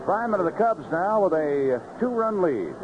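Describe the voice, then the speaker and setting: A man's voice: high voice, very animated, moderate pace, highs cut off, noisy background. A man calling baseball play-by-play on 1970s radio in clipped phrases with vivid descriptive calls, on a band-limited old broadcast. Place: ballpark broadcast booth.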